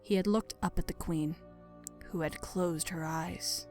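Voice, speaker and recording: A woman narrates a dark fantasy story from a script. Her voice is slightly low, with measured, dramatic delivery over faint music. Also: steady tone.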